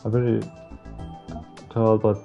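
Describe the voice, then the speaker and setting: A man reading visual novel text aloud as a playthrough host, stumbling over words now and then in a halting way. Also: fairly low pitch, monotone, vocal fry, warm tone. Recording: muffled lo-fi mic, music behind. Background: game music.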